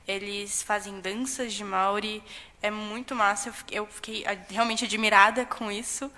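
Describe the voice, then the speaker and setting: A young woman with a Brazilian Portuguese accent gives an informal account, often halting to search for words. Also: medium pitch, sharp tone. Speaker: exchange student giving a testimony. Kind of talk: informal spoken account at a public session. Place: council chamber. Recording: echoey hall with long reverb.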